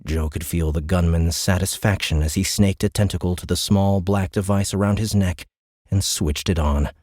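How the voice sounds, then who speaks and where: A man with a low voice narrates a dramatic science fiction audiobook. His delivery is measured and suspenseful, like scripted storytelling. The recording is close and very dry. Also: steady tone, darkish tone, no room sound. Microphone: close studio microphone.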